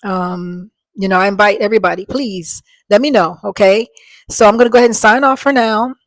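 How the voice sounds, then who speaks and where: A woman in her fifties with a soft voice that doesn't carry, talking to her viewers in a rambling, earnest way through a small microphone, her voice sounding worn. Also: mid-range voice, fairly even intonation, a little fast, dry recording. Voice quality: soft voice that does not carry. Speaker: vlogger. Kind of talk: casual talk to viewers.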